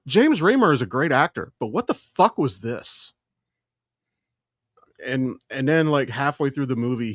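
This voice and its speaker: A man's voice: medium pitch, a bit bright, dry recording, clean studio sound. A man co-hosting a podcast in casual pop-culture chat, emphatic and opinionated, swearing freely. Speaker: podcast co-host.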